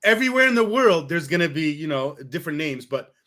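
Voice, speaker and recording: Male podcast host, fairly high and bright voice; friendly, thinks aloud, leans on "you know". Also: very animated, fast. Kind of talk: casual conversation.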